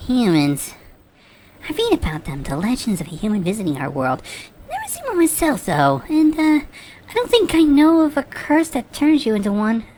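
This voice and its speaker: A woman voicing an earnest, excitable female pony magician with a theatrical manner that moves between hushed and shrieking, in an animated fan drama. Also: a little high, normal speed. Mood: thoughtful. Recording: echoey room, long reverb, background hiss.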